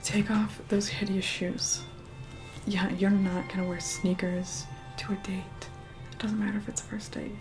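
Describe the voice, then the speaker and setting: A woman playing an aloof, reluctantly caring vampire woman in an ASMR roleplay, soft-spoken and breathy, often whispering, slow and condescending. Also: medium pitch, natural voice, poor audio. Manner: slow, condescending, grudgingly kind. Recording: loud music behind the voice. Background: music.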